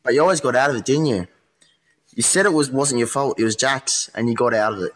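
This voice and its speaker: A man's voice: mid-range voice, fast talker, fairly bright tone, lightly acted, low-quality recording. A man playing a hardened, skeptical police interrogator in a modern radio play, speaking bluntly and insistently. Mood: accusing.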